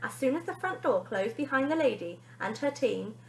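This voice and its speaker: A woman teacher reading a children's story aloud in an expressive, dramatic storytelling style. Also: high voice, moderate pace, creaky. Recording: echoey room.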